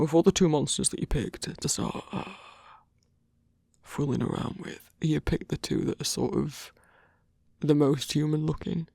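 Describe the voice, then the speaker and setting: A man playing a grumpy but tender werewolf lover in human form, speaking hesitantly with sighs and a husky, gravelly voice in an intimate ASMR roleplay. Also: fairly high pitch, darkish tone, dry recording, studio quality, exaggerated voice. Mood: nervous, self-conscious. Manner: halting, hesitant, sighing, gruffly bashful.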